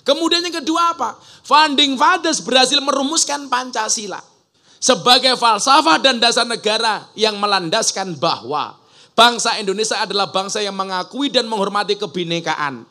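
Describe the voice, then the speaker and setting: A man's voice: sits high, moderate pace, pushed and bright. A man preaching a humorous sermon to a gathering, joking and mimicking regional dialects. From Java. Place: outdoor religious school gathering.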